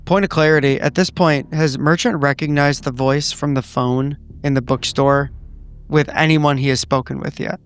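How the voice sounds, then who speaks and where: Clear-voiced man playing a tabletop game. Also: a little high, steady tone, moderate pace. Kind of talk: casual improvised narration.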